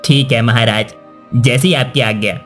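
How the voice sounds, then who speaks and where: A man acting a formal, mid-pitched royal minister. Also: steady tone, a little slow, a bit bright, faint music behind, close to an everyday voice. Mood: deferential. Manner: respectful, formal. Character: deferential royal minister advising his king. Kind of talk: cartoon dubbing.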